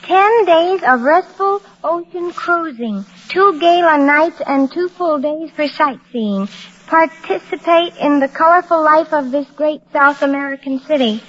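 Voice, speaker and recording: A woman's voice: high-pitched, measured pace, fairly bright tone. A woman playing a dreamy, dutiful young wife with a sweet, naive manner in an old radio drama. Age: young adult. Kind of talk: 1940s-50s radio drama acting. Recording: old dull lo-fi radio recording.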